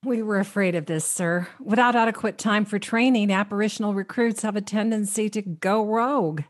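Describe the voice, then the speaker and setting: An actress plays a female intelligence aide with a mid-pitched voice. She explains things formally and earnestly, deferring to her superior, in radio drama style. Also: normal speed, close to an everyday voice. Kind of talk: radio play acting.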